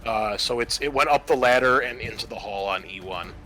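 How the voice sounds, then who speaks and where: Male game master, bright edge, halting rules explanations, music underneath. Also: mid-range voice, poor audio. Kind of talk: running a tabletop roleplaying game, improvised rulings.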